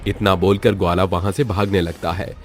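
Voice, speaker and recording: A man's voice: deep voice, level tone, moderate pace, soft background music. A man narrating a scripted story as a storyteller.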